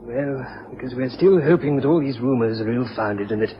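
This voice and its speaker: An actor playing a nervous, deferential chief clerk, hesitant and apologetic, in a period radio drama. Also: male voice, medium pitch, moderate pace, natural voice. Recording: muffled radio recording with clear hiss.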